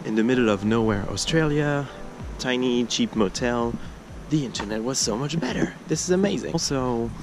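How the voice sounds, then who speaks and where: A man's voice: mid-range voice, lively intonation, moderate pace, few pauses, noisy background. Easygoing young man filming a road trip. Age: young adult. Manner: chatty, easygoing, thinking aloud with fillers. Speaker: travel vlogger. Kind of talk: casual road trip vlog. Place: inside a car while driving; motel room, small, indoors. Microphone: camera mounted on the dashboard.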